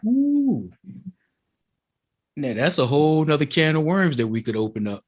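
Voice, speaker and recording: A man's voice: fairly high pitch, big pitch swings, measured pace. A man hosting a podcast interview in a casual way, reacting with exclamations and chiming in often. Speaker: podcast host.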